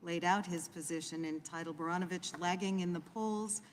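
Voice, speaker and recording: A woman who is a ranking committee member, making formal remarks in a measured, procedural manner. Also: lowish voice, fairly even intonation. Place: large hearing room. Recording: hearing room with long reverb and faint noise.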